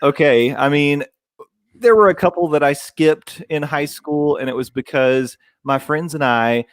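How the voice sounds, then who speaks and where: A young man from Tennessee with a gentle Southern accent, co-hosting a podcast in casual, self-mocking chat. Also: a little high, a little slow, clear, resonant tone, dry recording. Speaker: podcast co-host.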